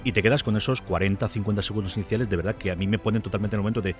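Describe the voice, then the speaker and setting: A man's voice: lowish voice, steady tone, racing, faint music behind. A man from chatting casually as a podcast panelist. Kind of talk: casual chat.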